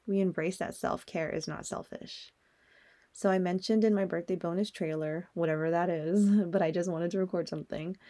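A woman's voice: lowish voice, creaky, mellow. A young woman hosting a solo podcast, talking in a candid, personal way and swearing now and then. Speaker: podcast host.

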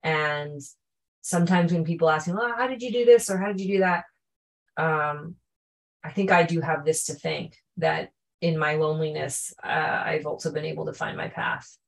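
Woman, low-pitched, podcast guest, thoughtful, earnest, pauses to find words. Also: fairly even intonation, vocal fry. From Canada. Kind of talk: reflective interview answers.

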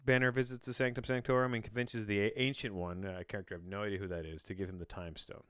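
A man's voice: lowish voice, little pitch movement, fast talker, a bit bright, smooth, no room sound, studio quality. A man hosting a solo podcast, casually reading aloud with bemused, rambling asides and frequent self-interruptions. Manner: casual swearing. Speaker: podcast host.

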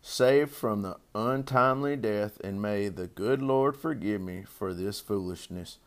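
A gentle farmer father from a storybook, read by a man. His voice sits on the low side. He reasons patiently, kindly but firm. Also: unhurried. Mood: relenting.

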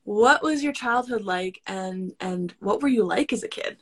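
Woman podcast host, medium pitch. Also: lively intonation, moderate pace, husky. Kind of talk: casual conversational interviewing.

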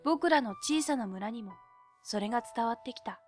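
A woman's voice: on the high side, animated, faint music behind, close to an everyday voice. A woman voicing an earnest, determined boy who narrates his own tale wistfully in an audio drama. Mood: reflective. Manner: boyish, wistful, measured. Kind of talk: scripted first-person drama narration.

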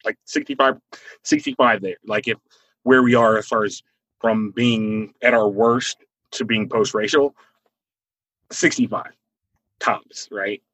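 A man's voice: fairly low pitch, steady tone, a little slow, pauses often, fairly bright tone, no echo, poor audio. A man in his forties with an American accent, talking casually and thinking aloud with repeated phrases. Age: 40s. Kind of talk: casual conversation, opinionated.

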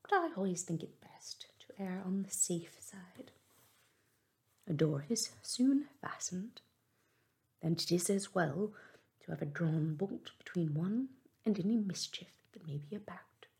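A woman voicing a composed, demure middle-aged female servant in a soft whisper. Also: on the low side, gravelly. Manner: whispered, calm and measured.

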